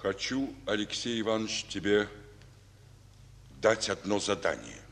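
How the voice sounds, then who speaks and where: In a long-reverb old radio recording, a fatherly, worn-out Soviet general speaks. His voice is low and husky, measured and confiding, with the weight of command. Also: very animated, fairly bright tone, heavily acted. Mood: troubled, grave. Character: weary, fatherly male Soviet army general. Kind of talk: Soviet radio drama acting.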